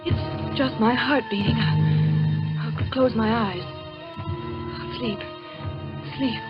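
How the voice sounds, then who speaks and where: High-voiced, terrified young woman, old radio drama. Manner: halting, repetitive, fearful pleading. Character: terrified young woman tormented by delusions and dread. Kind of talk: 1940s radio drama acting. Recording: dull 1940s radio recording, music behind.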